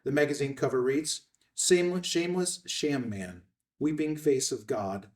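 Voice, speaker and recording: A man's voice: mid-range voice, moderate pace, darkish tone. A man reading stage directions aloud as the narrator of a screenplay table read. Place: indoor room at a filmed table read. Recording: slightly distant room microphone.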